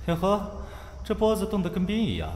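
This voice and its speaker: Man playing brash student, lively pitch. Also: fairly quick, long reverb tail, slight background noise. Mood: teasing. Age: young adult. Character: brash, foul-mouthed, secretly fond young male student. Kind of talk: radio drama acting.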